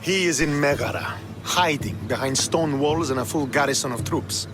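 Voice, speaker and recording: An actor playing a stern, proud young Spartan military commander in a video game, speaking formally and with earnest authority. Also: male voice, a little high, normal speed, lightly acted. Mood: commanding, businesslike. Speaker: video game character, army officer. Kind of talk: dramatic video game cutscene acting. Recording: game soundtrack music behind the voice.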